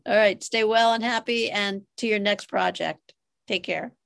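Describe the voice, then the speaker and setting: A woman's voice: medium pitch, steady tone, moderate pace, creaky. A woman speaking as a podcast host in a conversational interview.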